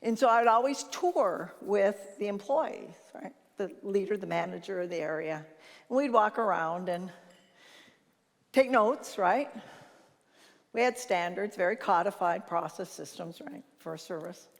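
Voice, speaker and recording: An older woman with a naturally loud voice gives an informal conference talk, telling anecdotes and acting out bits of conversation. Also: medium pitch, lively intonation, a little slow. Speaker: conference speaker and leadership consultant. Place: large reverberant conference hall. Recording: reverberant hall sound.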